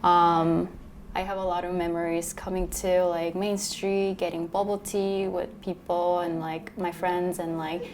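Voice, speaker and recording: Halting young immigrant woman, lowish voice. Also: a little mellow, a little hiss or hum. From born in Korea, moved to New York in youth. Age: young adult. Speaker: interviewee, local resident. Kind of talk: casual spoken testimony.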